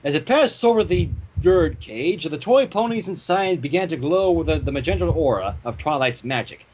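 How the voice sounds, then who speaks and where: A man reading a story aloud dramatically, with expressive reactions and asides. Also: on the high side, normal speed, slight background noise, low-quality recording. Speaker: fan fiction reader.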